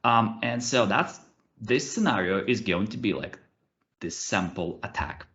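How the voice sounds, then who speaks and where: A man in his thirties with a Russian accent, presenting a cybersecurity session over a video call. He hesitates often, uses many fillers and restarts, and keeps his delivery animated. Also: lowish voice, unhurried, lo-fi sound. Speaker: conference speaker, ethical hacker. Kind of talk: webinar presentation with live demos. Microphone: computer mic on a video call.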